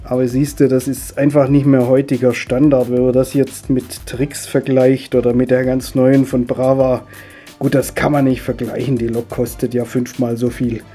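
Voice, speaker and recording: A middle-aged man, stuffy and husky from a cold, chatting his way step by step through a hands-on hobby tutorial. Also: medium pitch, level tone, moderate pace, warm tone, faint music behind. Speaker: model railway hobby video host.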